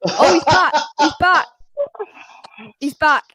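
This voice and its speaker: A man's voice: very high-pitched, slow pace, bright, forceful tone, dry recording, poor audio. A man hosting a casual live stream, reading out the chat with plenty of hesitant ums. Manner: hesitant, lots of ums, laughs along.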